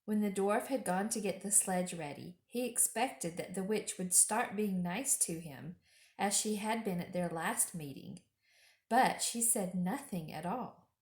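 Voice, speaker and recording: A woman's voice: medium pitch, unhurried, darkish tone. Woman reading a storybook aloud, echoey room. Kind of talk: children's storybook read-aloud. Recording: roomy home echo.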